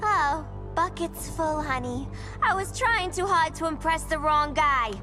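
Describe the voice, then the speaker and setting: A brash clown girl from a game, high-pitched and very animated. She wisecracks and teases in cheeky slang, taking her time, with music behind her. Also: a little slow. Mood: cheeky defiance. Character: wisecracking, brash young female clown antihero. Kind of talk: video game voice acting.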